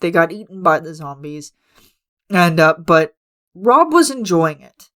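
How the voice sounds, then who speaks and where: Hoarse man rambling through a podcast. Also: fairly high pitch, animated, unhurried, fairly bright tone. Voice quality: raspy. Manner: rambling, many fillers and restarts. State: hoarse, losing his voice; congested, coughing. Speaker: podcast host. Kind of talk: casual solo podcast chat. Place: his room at home.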